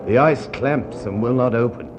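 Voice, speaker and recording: An actor playing an anguished, doomed ship's commander in a 1960s poetic radio drama, with a grave, theatrical and deliberate delivery. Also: male voice, mid-range voice, over loud music, lightly acted. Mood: rising anguish. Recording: dull, noisy 1960s radio recording.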